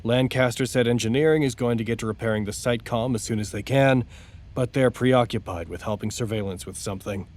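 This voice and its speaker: A man's voice: mid-range voice, a little fast, darkish tone, natural voice. Man playing a precise, wordy facility officer. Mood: matter-of-fact. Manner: formal, articulate, wordy. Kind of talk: audio drama acting.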